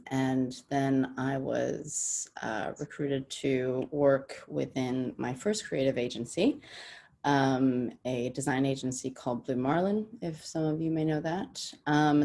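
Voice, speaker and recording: A woman's voice: extremely low pitch, darkish tone. A woman with an American accent, a panelist on a video call, chatting casually about her career with many fillers and frequent laughs. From half Danish, half Swedish, raised partly in the US. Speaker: panelist, business development director.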